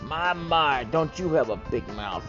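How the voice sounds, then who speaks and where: A man's voice: on the high side, animated, measured pace. A man voicing a menacing male bandit from a video game, threatening and sneering as he speaks. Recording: muffled, music behind.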